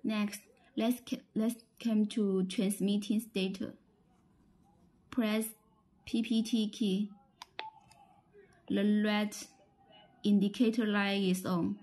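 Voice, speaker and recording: Woman, Chinese accent, product demonstrator, slow halting delivery, dark mellow voice, instructional. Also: mid-range voice, level tone, rough recording. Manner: halting, repeats phrases.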